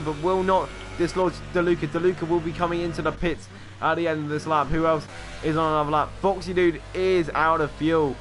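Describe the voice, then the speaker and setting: Male sim-race commentator, slightly high voice, excitable lap calls, music bed clearly audible. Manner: quick, excitable lap-by-lap calling with asides.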